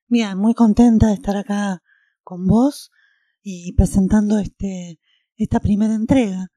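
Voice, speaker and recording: Argentine female radio host, very soft dark timbre, friendly presenting, close dry sound. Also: medium pitch, fairly even intonation, measured pace, dry recording.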